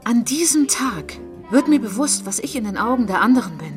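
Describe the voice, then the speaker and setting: An actress narrating as a reflective, resolute woman looking back on her childhood in a German radio drama, in measured short phrases. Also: fairly high pitch, very animated. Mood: intense, proud. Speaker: narrator of autobiographical monologue. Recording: music behind the voice.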